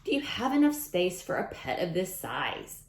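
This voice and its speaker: Animated woman reading aloud to children. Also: fairly low pitch, moderate pace, roomy sound. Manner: expressive, addresses young listeners directly. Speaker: teacher reading a picture book aloud to children. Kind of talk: read-aloud storytime for young children with chatty asides.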